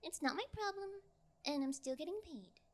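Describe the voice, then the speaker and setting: A woman playing a cocky, callous kidnapper in an audio roleplay, with a flippant, teasing, drawn-out delivery. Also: sits high, animated. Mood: bored, nonchalant. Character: cocky, callous sociopathic female yangire kidnapper, flippant and taunting. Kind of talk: ASMR audio roleplay acting.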